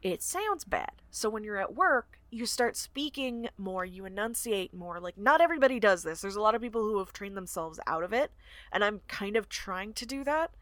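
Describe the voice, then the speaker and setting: A woman with a soft Southern US accent, co-hosting a casual book podcast, blunt and swearing freely. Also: medium pitch, expressive, normal speed, no echo. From southern United States. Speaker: podcast co-host. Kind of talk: casual book-discussion chat.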